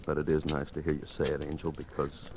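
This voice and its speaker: Very deep-voiced resigned condemned man. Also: monotone, brisk, darkish tone. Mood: resigned, tender. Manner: low-key, resigned, tender. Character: resigned, calm condemned man, a film producer. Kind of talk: 1940s radio drama acting. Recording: noisy 1940s radio recording.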